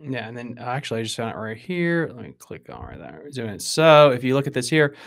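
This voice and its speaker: A man's voice: mid-range voice, very animated, fairly quick, creaky. Emphatic man hosting a podcast. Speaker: podcast host. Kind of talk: casual podcast chat. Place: indoor room at a desk, on a video call. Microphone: close boom-arm podcast microphone.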